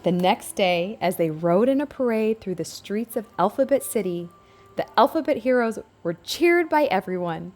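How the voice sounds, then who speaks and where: A woman's voice: mid-range voice, expressive, normal speed, darkish tone. A woman narrating a scripted picture book aloud for young children, as a storybook reader.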